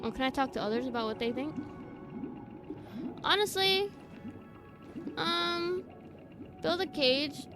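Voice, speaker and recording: A woman's voice: sits high, animated, slow pace, long pauses. A woman streaming a game live, chatting casually and reacting in a sassy, teasing, informal way. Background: faint game music.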